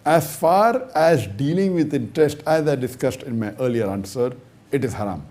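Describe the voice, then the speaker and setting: A man's voice: mid-range voice, expressive, normal speed, darkish tone. A man, an Islamic scholar, answering an audience question in a lecture, reasoning step by step with emphatic stresses and rhetorical questions.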